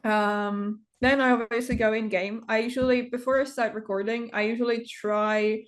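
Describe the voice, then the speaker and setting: A woman's voice: fairly high pitch, steady tone. Interview guest: non-native woman, twenties, hesitant delivery with frequent fillers, video call. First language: not English. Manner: hesitant, many pauses and fillers. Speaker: interview guest, game builder. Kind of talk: casual conversation.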